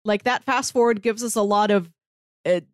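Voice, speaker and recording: Woman, medium pitch, dry recording, casual podcast talk, eager agreeing. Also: moderate pace, clear, resonant tone, dry recording. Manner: eager, agreeing often, filler "like". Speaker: podcast co-host. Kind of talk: casual TV recap chat.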